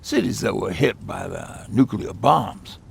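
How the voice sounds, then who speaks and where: An actor playing a weathered survivor who recalls hard times in a halting, reflective way, with a gravelly, husky voice, in a naturalistic video game style. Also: male voice, on the low side, animated, slight background noise, heavily acted. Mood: somber.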